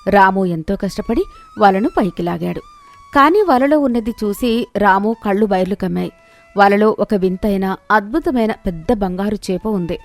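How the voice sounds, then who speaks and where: A woman's voice: medium pitch, animated, moderate pace, soft background music. A woman narrating a scripted moral story in a dramatic storytelling style.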